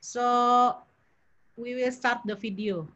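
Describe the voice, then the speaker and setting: A woman hosting an online briefing, speaking in a slow, halting way as she works things out aloud. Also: on the high side, steady tone, a bit bright, dull audio. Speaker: meeting host.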